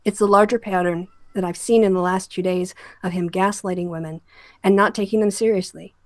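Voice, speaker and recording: A woman's voice: fairly low pitch, fairly even intonation, a little fast, darkish tone, no room sound, studio quality. A woman hosting a discussion show, giving emphatic, critical commentary that sounds like an opinionated rant with direct address.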